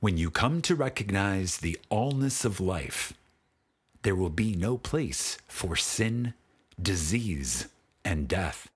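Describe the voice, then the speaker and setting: A man with a husky voice reads a spiritual audiobook in a solemn, deliberate manner with dramatic pauses. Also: deep voice, animated. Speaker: audiobook narrator. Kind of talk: scripted spiritual audiobook narration.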